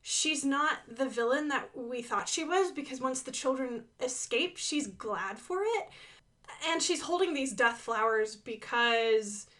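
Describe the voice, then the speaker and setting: A woman's voice: high-pitched, a little slow, a bit bright. A woman giving casual, enthusiastic commentary on her own animation, playful, with sing-song asides and dramatic emphasis.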